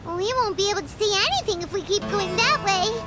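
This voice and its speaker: An actress playing a tiny, childlike, jumpy fairy companion in a video game, squeaky and exclamatory. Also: female voice, a little fast, music in the background. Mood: alarmed. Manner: squeaky, exclaiming, speaks in third person. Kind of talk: cartoonish video game voice acting.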